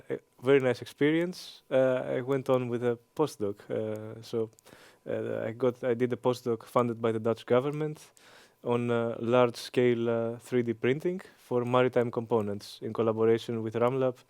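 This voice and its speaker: A man in his thirties with a Greek accent, answering interview questions with many hesitant pauses and fillers. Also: medium pitch, little pitch movement, a little mellow, clean tone, dry recording. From Greece. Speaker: interview guest, engineering professor.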